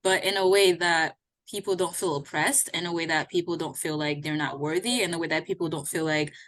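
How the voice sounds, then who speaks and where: A woman in her thirties with a fairly low voice talks through ideas slowly and earnestly. She co-hosts a casual podcast conversation, and her speech is full of little fillers. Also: fairly even intonation, a bit bright. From Haiti. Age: 30s. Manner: reflective, earnest, many "like" and "you know" fillers. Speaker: podcast co-host.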